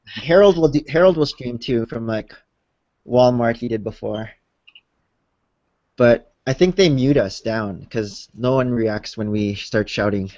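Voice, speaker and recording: A man's voice: mid-range voice, a little slow, a little mellow, no echo. A man from the Philippines in easygoing live stream banter as a co-host. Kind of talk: casual chat. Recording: dry lo-fi online call audio.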